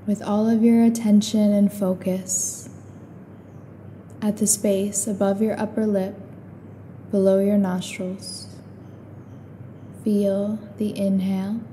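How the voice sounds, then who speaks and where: A woman guiding a meditation in a calm, soothing, unhurried way, leaving long pauses between short instructions. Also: medium pitch, slight background noise. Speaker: meditation guide.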